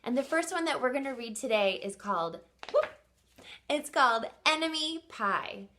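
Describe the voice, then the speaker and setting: A woman teacher and host doing an expressive storybook read-aloud for young children, often pausing to put questions to her listeners. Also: sits high.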